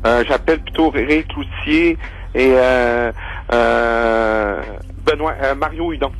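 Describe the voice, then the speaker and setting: A man with a Quebec French accent touched with Italian, calling in over the phone to a radio show and asking a question in a hesitant, halting way. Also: medium pitch, soft background music, poor audio. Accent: Quebec French with a slight Italian touch. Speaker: radio caller. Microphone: phone line.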